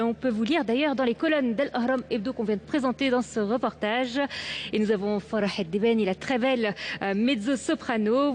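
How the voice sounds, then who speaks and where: Higher-voiced female TV co-host. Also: a little mellow, a little hiss or hum. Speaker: TV co-presenter. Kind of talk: studio introductions.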